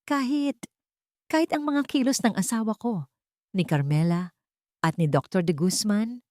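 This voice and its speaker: A woman's voice: mid-range voice, a little slow, dark tone, dry recording, studio quality, voice pushed up, close to an everyday voice. A woman voicing a worried, stern wealthy father in story dialogue, grave and pressing. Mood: probing.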